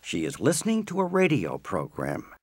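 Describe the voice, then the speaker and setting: A man narrating an audio comedy from a script, with deliberate, theatrical storytelling phrasing. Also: medium pitch, wildly swooping pitch, slightly rough.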